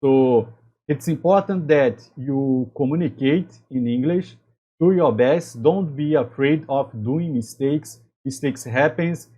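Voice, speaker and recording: A man from Brazil, a native Portuguese speaker with a Brazilian accent, giving career advice to camera as a chemical engineer and channel host, in halting English with frequent restarts. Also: mid-range voice, dark tone, slightly rough, rough recording. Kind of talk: talking-to-camera advice video.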